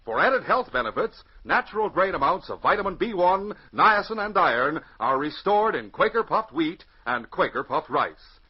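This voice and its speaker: Male 1940s radio announcer-narrator, North American, dramatic and highly inflected, on a muffled, hissy old recording. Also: sing-song, normal speed, few pauses, breathy edge. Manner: dramatic, emphatic.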